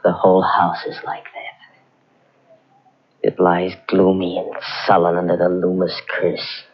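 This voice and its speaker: An actor playing a young husband haunted by a family curse, talking tensely and urgently. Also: male voice, sits low, monotone, a little slow, breathy edge. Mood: brooding. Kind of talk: 1940s radio acting. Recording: dull old radio transcription.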